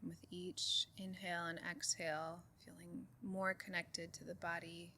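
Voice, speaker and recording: A woman leading a guided meditation in a calm, soothing way, giving soft instructions with unhurried pauses. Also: fairly low pitch, a little hiss or hum. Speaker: meditation group leader.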